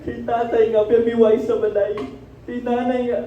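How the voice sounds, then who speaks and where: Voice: mid-range voice, flat delivery, moderate pace, phone-quality sound, lightly acted. An actor playing a resentful, hot-tempered student son with a Filipino accent in an amateur radio drama. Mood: guilty, anguished. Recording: echoey room, distant microphone.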